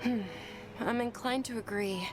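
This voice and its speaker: Woman playing a gentle, refined young lady. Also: a little high, natural voice, low-quality recording. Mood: gentle, concerned. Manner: polite, soft, measured. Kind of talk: video game character acting. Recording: loud background music.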